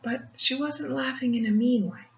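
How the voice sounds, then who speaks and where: An older woman reads a picture book aloud like a grandmother, pausing for gentle explanations and questions to the child. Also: sits high, creaky. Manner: gentle teacherly asides, asking the child questions. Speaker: grandmother storyteller reading to children. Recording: muffled, roomy home recording with faint noise.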